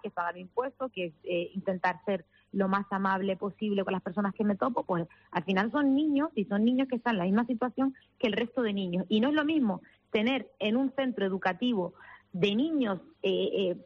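A woman's voice: medium pitch, husky, dull audio. A woman lawyer with a Canarian accent tinged with Castilla-La Mancha, speaking earnestly as a radio guest, full of fillers and restarts. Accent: Canarian mixed with Castilla-La Mancha. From Canary Islands. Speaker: lawyer for minors and immigrants. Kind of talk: radio interview guest on the phone.

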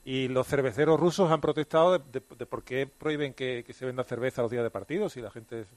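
A man's voice: medium pitch, fast, a little mellow. A man in a radio studio chatting casually with the host, hesitating as he tells a story. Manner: conversational, hesitant. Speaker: studio commentator.

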